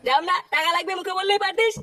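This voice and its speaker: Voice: extremely high pitch, racing. পিচ বাড়ানো গলায় একজন অভিনয়শিল্পী কার্টুন কমেডির এক বদমেজাজি, গালিবাজ মাঝবয়সি বাবার চরিত্র করছে।. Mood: angry scolding. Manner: scolding, blustering, crude. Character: irascible foul-mouthed middle-aged village father. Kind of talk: exaggerated cartoon comedy dialogue. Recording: distant sound with music behind.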